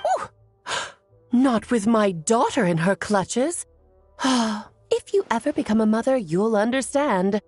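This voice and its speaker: A woman playing a mischievous, theatrical witch mother with genteel manners in an animated video game. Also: fairly high pitch, slow pace, husky, dry recording, faint music behind, exaggerated voice. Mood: mock dramatic.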